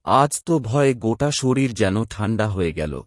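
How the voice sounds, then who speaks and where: Hushed man narrating a ghost story. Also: lowish voice, very animated, measured pace. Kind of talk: first-person horror narration. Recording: spooky music bed under narration.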